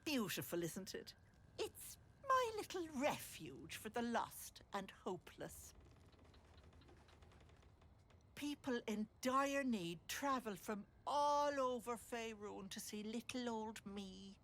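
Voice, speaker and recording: A woman's voice: fairly high pitch, animated, slow pace, fairly bright tone, a little hiss or hum, heavily acted. A woman voicing a sly old hag who poses as a doting auntie in a video game, with an Irish accent and sugary endearments edged with menace. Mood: cloying, sly. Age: older. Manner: cloying endearments turning to menace. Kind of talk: theatrical video game acting.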